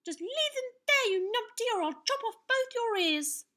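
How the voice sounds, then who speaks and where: A woman plays a plucky six-year-old knight in a children's storybook. The little boy is very high and bright, excitable and eager, declaiming bravely with bouncing pitch. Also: measured pace, heavily acted. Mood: bold defiance.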